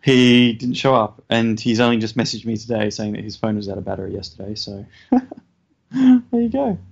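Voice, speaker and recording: A man co-hosting a casual podcast, talking hesitantly with many fillers and a self-deprecating manner, feeling low on energy. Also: lively intonation, moderate pace, vocal fry, slightly rough. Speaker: podcast co-host. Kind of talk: casual chat. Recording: dull lo-fi sound.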